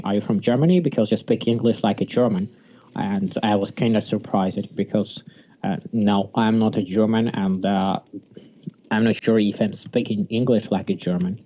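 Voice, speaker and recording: A man from Sweden gives casual, halting live commentary on a strategy game. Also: lowish voice, fairly even intonation, dark tone. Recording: dull lo-fi mic, music behind. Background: music.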